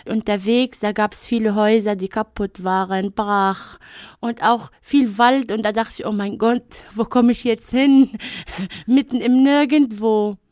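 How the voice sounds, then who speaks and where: A woman whose first language is Arabic talks in a radio interview, her voice sitting a little high. She speaks thoughtfully, pausing with fillers. The sound is dry and close. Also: steady tone, darkish tone, dry recording. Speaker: interview guest. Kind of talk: radio interview, personal account.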